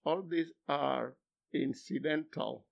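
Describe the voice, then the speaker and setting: An older man, a philosophy professor whose first language is Luo, speaking haltingly in an interview, then pressing with emphatic rhetorical questions. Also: on the high side, vocal fry. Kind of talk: academic interview. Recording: dull lo-fi recording.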